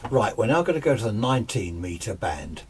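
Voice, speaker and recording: A man's voice: fairly low pitch, big pitch swings, fairly quick, darkish tone. A British man giving a casual, rambling, off-the-cuff demonstration as an amateur radio hobbyist in a home radio room. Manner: rambling, conversational. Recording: noisy room, radio static behind.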